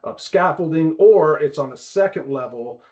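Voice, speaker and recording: A man speaking as a guest expert, explaining casually with halting phrasing and repeated words. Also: a little high, animated, darkish tone, muffled recording. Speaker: guest expert, climbing wall builder.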